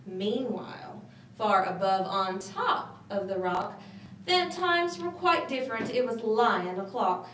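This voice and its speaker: A woman reading a children's story aloud with expressive, dramatic phrasing. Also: medium pitch, slow pace. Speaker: storybook reader. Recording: roomy, distant mic, faint noise.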